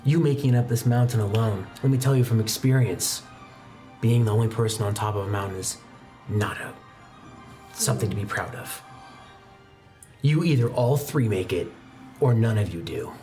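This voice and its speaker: A man playing a dour, unamused demon slayer mentor in a tabletop game, lecturing in a deadpan way. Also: lowish voice, normal speed, long pauses, faint music behind, pitched below the performer's own voice, lightly acted. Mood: stern, lecturing.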